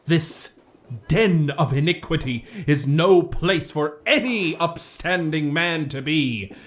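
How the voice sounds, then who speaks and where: High, pompous evangelical preacher. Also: male voice, lively intonation, takes it slowly, fairly bright tone. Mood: pious scolding. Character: fire-and-brimstone evangelical preacher, sanctimonious and melodramatic. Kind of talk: 1950s noir radio drama acting.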